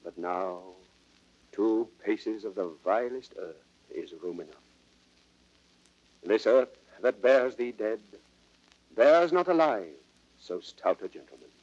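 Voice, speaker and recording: An actor playing a solemn, grieving, proud young prince with stately, declamatory verse delivery in a classical Shakespearean radio drama. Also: male voice, fairly low pitch, expressive, takes it slowly, pauses often, a little mellow, dry recording. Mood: solemn tribute. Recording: dull, band-limited old record sound.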